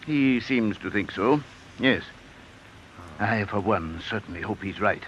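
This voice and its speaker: Male dean: formal, businesslike, fairly low and forceful, 1950 radio, faint noise. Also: lively intonation, unhurried, muffled recording. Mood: grave, businesslike. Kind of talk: 1950s radio drama acting.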